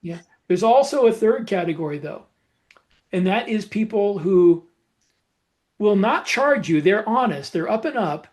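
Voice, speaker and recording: Casting: male podcast co-host with a high-set voice, informal explainer delivery, plain-spoken and opinionated. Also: lively intonation, unhurried, a little mellow. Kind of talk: casual explanatory podcast talk.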